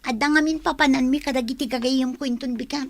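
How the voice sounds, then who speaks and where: A woman's voice: sits high, steady tone, quick pace. A woman acting an evasive teenage daughter in an audio drama. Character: teenage daughter who prefers friends, evasive.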